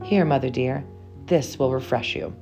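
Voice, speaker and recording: A woman's voice: rumbling low, normal speed, gravelly, mellow. A woman puts on a young patriot seamstress from a colonial storybook. The girl is proud and dutiful, with earnest, old-fashioned speech. Light music plays behind her. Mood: dutiful, sweet.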